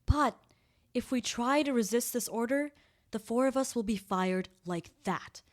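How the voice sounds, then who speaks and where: A woman's voice: fairly high pitch, a little slow, lightly acted. A woman playing a pragmatic government colleague, frank and conciliatory, in naturalistic drama dialogue. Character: pragmatic, reasoning female government colleague urging compromise.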